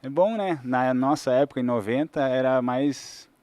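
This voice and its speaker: A man's voice: medium pitch, moderate pace, a little mellow, dry recording. A man in his forties from southern Brazil, a fitness trainer chatting as a podcast guest. He explains things at length in an easygoing way, with restarts and fillers. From Santa Catarina, Brazil. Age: 40s. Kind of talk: casual interview chat.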